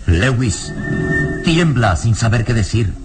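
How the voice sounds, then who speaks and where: A man's voice: mid-range voice, lively intonation, takes it slowly. Solemn male radio drama narrator over music. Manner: solemn, theatrical.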